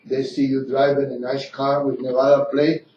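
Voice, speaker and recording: Older cuban guy hosting radio, mellow tone, roomy far-off lo-fi sound. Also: mid-range voice, moderate pace, a little hiss or hum. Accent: Cuban Spanish. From Cuba. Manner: animated storytelling, broken English. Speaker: radio show host. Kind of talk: casual radio banter. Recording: roomy, distant, dull lo-fi radio audio.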